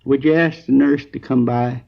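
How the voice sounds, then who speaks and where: A bewildered, wounded man speaks haltingly from a hospital bed in 1950s radio drama. His weary voice is full of creak and sits at a middle pitch, on a dull old recording. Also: fairly even intonation. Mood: confused, pleading.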